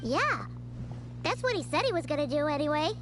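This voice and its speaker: Squeaky, chirpy little fairy companion. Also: female voice, a little fast, over music. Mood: curious. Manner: third-person self-reference, excitable, cheeky. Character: Paimon, tiny chirpy childlike floating companion, female, cheeky. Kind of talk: video game voice acting.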